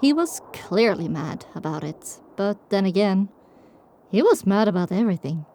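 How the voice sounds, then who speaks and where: Woman as a mellow, reflective storyteller. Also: mid-range voice, very animated, normal speed. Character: reflective, sorrowful female pony survivor telling her story. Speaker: narrator. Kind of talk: dramatic first-person scripted narration.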